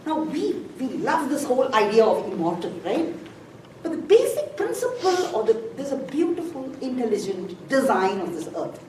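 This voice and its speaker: A woman's voice: sits high, very animated, moderate pace, darkish tone. A woman speaker giving a chatty, self-deprecating talk on composting in a library room. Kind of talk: informal presentation. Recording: echoey room, far from the mic, noisy.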